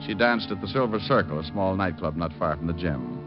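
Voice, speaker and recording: A man's voice: sits low, animated, brisk. An American actor playing a wry, hard-boiled private detective who narrates his case in old radio style, dry and wisecracking. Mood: brooding, wry. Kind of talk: old-time radio acting, scripted narration. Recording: dull old radio recording. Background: dramatic music.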